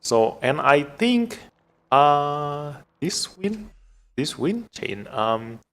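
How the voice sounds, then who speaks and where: A man from Indonesia with an Indonesian accent gives casual live gameplay commentary as a streamer, thinking aloud in a halting way. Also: fairly high pitch, very animated, fairly bright tone. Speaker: game streamer.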